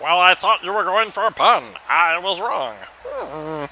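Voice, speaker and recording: A man puts on an excitable cartoon wrestling bystander, extremely high and piercingly bright. He swoops up and down as he jokes, with faint noise behind him. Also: unhurried, exaggerated voice, rough recording. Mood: jokey. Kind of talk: cartoonish wrestling audio drama.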